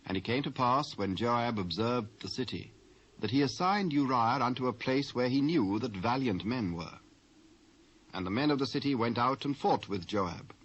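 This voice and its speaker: A male narrator with a British accent reads scripture from a script. His voice is husky and of medium pitch. The recording is a dull, aged cassette. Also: moderate pace. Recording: dull old cassette tape.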